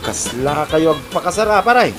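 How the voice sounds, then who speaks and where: Man acting an animated, higher-voiced Ilocano buddy. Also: normal speed, over loud music. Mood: teasing, amazed. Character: teasing village buddy, husband's excitable friend. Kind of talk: comedy drama acting.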